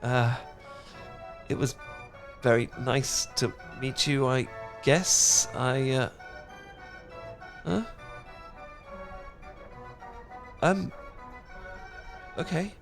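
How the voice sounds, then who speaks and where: A man's voice: medium pitch, extremely slow, pauses often, over music. A British man playing a cute, awkward young dork in a romantic roleplay, stammering and rambling as he talks. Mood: shy, smitten. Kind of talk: romantic ASMR roleplay acting.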